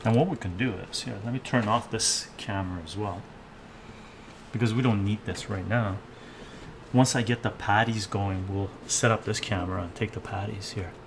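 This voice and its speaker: A man's voice: lowish voice, fairly even intonation, moderate pace, vocal fry, background noise. An older man live-streaming from his home kitchen, thinking aloud while he cooks and answering viewers' chat. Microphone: fixed camera mic, moves around the kitchen.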